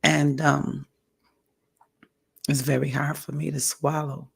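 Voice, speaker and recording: A woman vlogger with a hoarse, raspy voice, giving a candid personal update in a slow, halting way and often clearing her throat. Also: sits low, level tone. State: hoarse, recovering from a stroke. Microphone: audio only, home recording.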